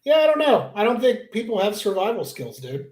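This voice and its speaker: A man co-hosting a podcast, making short reactions and telling stories slowly with hesitations. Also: high-pitched, sing-song, darkish tone. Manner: short dry reactions, slow hesitant storytelling. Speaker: podcast co-host. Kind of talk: casual podcast chat. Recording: roomy, echoey mic.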